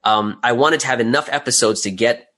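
A man's voice: mid-range voice, fairly quick, a bit bright. A man speaking as an interview guest, a creator explaining his process step by step in a conversational way and posing rhetorical questions as he goes.

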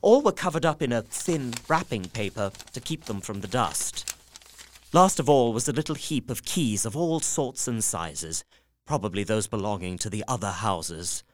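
A man narrating as a steady, earnest Victorian journal writer. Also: lowish voice, moderate pace, lightly acted. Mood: tense. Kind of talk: audio drama narration.

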